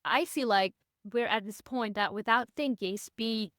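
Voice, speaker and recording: A woman's voice: on the high side, unhurried, no echo, clean studio sound. A young adult woman from Belgium who speaks English as a second language, chatting animatedly as a podcast guest. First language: not English. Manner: animated, laughs easily, says "I feel like" often. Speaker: podcast guest, personal brand strategist. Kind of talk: casual interview chat.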